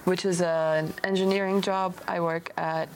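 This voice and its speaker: Young woman from Syria, low-pitched, noisy background. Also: flat delivery, moderate pace. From Damascus, Syria. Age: young adult. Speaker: podcast guest, medical device engineer. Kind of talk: reflective personal conversation. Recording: clear background noise, ambient sound.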